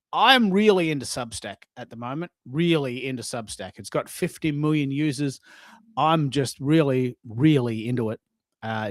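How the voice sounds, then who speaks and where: A man's voice: medium pitch, no echo. A middle-aged man hosting a live audio show, chatting casually and rambling, repeating words for emphasis. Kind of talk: casual live-stream chat.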